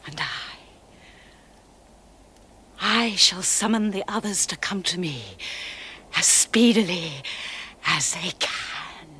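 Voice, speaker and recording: A woman's voice: fairly low pitch, takes it slowly, long pauses, pushed and bright, slight background noise. An actress playing a commanding evil witch queen in a radio drama, theatrical and incantatory. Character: evil witch queen summoning her minions, commanding, sinister.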